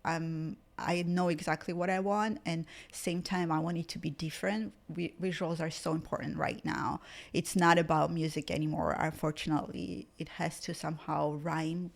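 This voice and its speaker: A young woman from Georgia whose first language is Georgian, speaking with a Georgian accent as a musician guest in a casual interview, soft-spoken and often laughing between answers. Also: low-pitched, level tone, normal speed, creaky, few pauses.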